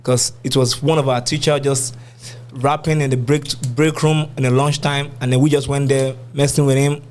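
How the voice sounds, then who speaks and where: A young man with a Nigerian accent speaks as a guest on a music podcast. His talk is colloquial and casual, in a medium-pitched voice. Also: little pitch movement, normal speed, a little mellow. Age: young adult. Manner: colloquial, uses "you know what I'm saying". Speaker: musician being interviewed.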